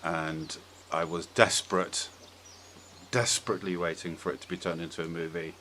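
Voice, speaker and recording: A man's voice: low-pitched, steady tone, normal speed, pushed and bright. A man hosting a podcast, chatting casually and informally with strong opinions. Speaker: podcast host. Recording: clearly audible background noise.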